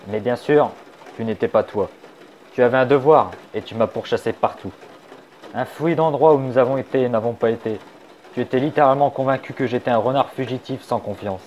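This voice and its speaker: A man's voice: mid-range voice, brisk, dull audio, a little hiss or hum, close to an everyday voice. A man voicing a sly wisecracking fox. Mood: confiding. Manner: wry, teasing, turns soft and confiding. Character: sly wisecracking male fox, young adult. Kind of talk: fan dub of a comic.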